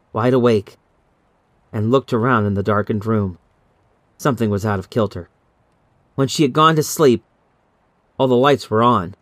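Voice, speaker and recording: A man reading a scripted horror story in a measured, dramatic, suspenseful way, as an audiobook narrator. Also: medium pitch, fairly bright tone, no room sound.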